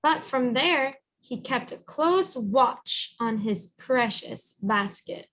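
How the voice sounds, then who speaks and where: A woman reading a storybook aloud as a teacher, encouraging and pausing to guide with questions. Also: high-pitched, animated, a bit bright. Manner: encouraging, instructive.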